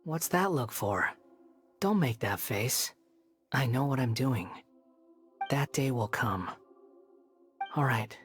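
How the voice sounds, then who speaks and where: A man's voice: medium pitch, expressive, slow pace, long pauses, fairly bright tone, soft background music. An actor playing a proud, aloof, world-weary young man in a video game, speaking with cold resignation. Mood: resigned, cold.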